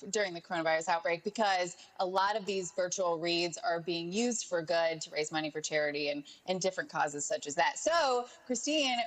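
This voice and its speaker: A woman's voice: fairly low pitch, a bit bright, rough recording. A woman presenting a conversational entertainment segment on television.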